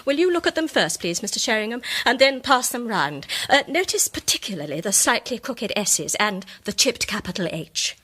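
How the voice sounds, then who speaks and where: A woman playing a shrewd, self-assured amateur detective, setting out her case articulately and deliberately in radio drama style. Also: a little high, sing-song, pushed and bright, heavily acted. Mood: assured, methodical. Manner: articulate, deliberate, theatrical reveal. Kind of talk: British radio drama acting.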